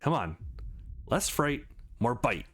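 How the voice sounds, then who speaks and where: A man's voice: medium pitch, sing-song, takes it slowly, a bit bright, breathy edge, exaggerated voice. A man voicing a tough young woman combat trainer in a visual novel, commanding, teasing and encouraging. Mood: challenging. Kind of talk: visual novel read-aloud.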